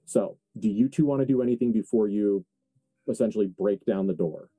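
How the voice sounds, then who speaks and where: Dark, mellow low-voiced man running a game. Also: a little fast, breathy edge, no room sound. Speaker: game master. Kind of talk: narrating a horror tabletop game, casual asides.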